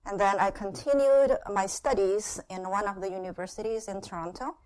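Middle-aged woman, from Indonesia, church testimony, short phrases, frequent pauses, filler words, medium pitch. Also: fairly even intonation, darkish tone, rough recording. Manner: unhurried, pauses in short phrases, frequent "uh" and "yeah", light laughs. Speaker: guest speaker sharing her testimony. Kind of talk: church testimony with consecutive interpretation.

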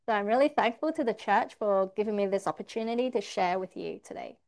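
A woman's voice: mid-range voice, quick pace, creaky, a little mellow. A woman interpreting a church testimony, rendering the speaker's words line by line in the first person. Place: church service.

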